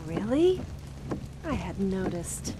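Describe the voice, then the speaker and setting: A woman's voice: mid-range voice, big pitch swings, slow pace. A woman playing an exasperated young wife in an audio drama, with dry, nagging sarcasm. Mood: exasperated, doubtful. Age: young adult. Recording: clear rain and vehicle noise behind. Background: rain and vehicle noise.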